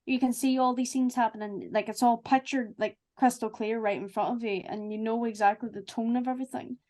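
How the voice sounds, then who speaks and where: Woman, fairly high pitch, podcast guest, casual chat, gushing and emphatic. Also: fairly even intonation, a little fast, a little mellow. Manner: effusive, emphatic.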